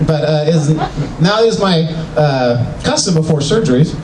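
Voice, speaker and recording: An older man doing a self-mocking, animated stand-up comedy set in a small venue. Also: a little high, unhurried. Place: small venue with live audience. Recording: echoey, distant club recording with crowd.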